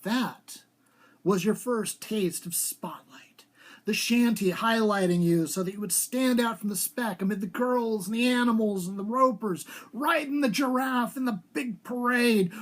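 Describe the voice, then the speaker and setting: A man's voice: sits high, a little slow. A man speaking as an author at a live reading of short fiction, with an emphatic storytelling delivery. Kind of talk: live dramatic literary reading.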